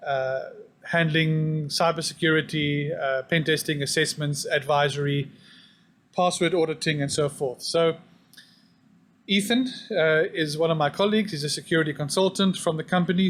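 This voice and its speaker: A man's voice: a little high, fairly even intonation, moderate pace, fairly bright tone. Man giving a technical conference talk. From South Africa. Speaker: conference presenter. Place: conference hall.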